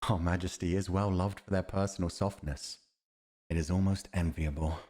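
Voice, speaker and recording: Male actor as steadfast fantasy war commander: very low voice, formal, measured, honourable. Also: fairly even intonation, a little mellow. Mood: composed. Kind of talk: fantasy audio roleplay acting.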